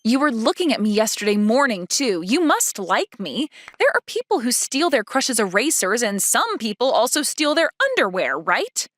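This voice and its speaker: A woman's voice: a little high, sing-song, moderate pace, hardly any pauses, fairly bright tone, no echo, studio quality. A woman playing a haughty, blunt teenage schoolgirl in a manga dub, speaking in a demanding, self-assured way. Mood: accusing. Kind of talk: manga dub character dialogue.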